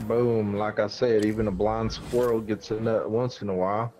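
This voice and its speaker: A man's voice: fairly low pitch, flat delivery, normal speed, a little mellow. A man in casual gaming chat, slangy and teasing, swearing freely. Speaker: gamer in a squad voice chat. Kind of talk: casual game chat and banter. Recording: noisy game voice chat.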